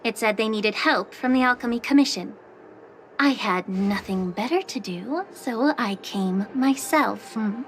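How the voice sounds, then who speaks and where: An actress playing a calm, long-lived alchemist official who speaks with dry, teasing sarcasm in a video game. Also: female voice, a little high, unhurried, slight background noise. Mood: composed. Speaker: head alchemist.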